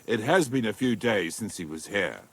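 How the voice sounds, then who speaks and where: A penitent former outlaw in a medieval video game. His low, husky voice is gravelly, with expressive dips and rises. He sounds weary, grave and resigned. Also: normal speed, exaggerated voice. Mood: wry, resigned. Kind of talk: dramatic video game dialogue.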